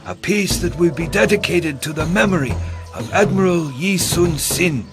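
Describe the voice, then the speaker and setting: A man's voice: fairly high pitch, normal speed, over music, close to an everyday voice. A man playing a formal statesman in a video game cutscene, speaking in a ceremonial, declamatory way. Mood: solemn. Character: formal statesman solemnly proclaiming peace.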